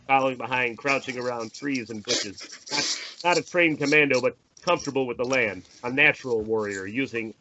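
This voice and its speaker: A man's voice: medium pitch, fairly bright tone. A man narrating the stage directions of a screenplay in an informal table read. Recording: dull lo-fi audio with faint noise.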